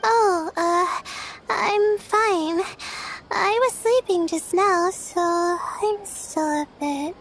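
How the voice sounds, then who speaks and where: A woman playing a timid, sleepy young student in a video game, gentle and flustered, with a hesitant, stammering delivery. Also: extremely high pitch, very slow, slight background noise, heavily acted, rough recording. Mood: flustered, apologetic.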